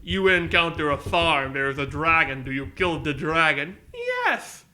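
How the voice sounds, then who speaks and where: Nasal, bright-voiced young man rambling. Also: fairly high pitch, a little slow. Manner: rambling, animated storytelling with put-on voices. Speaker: podcast co-host. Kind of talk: casual chat.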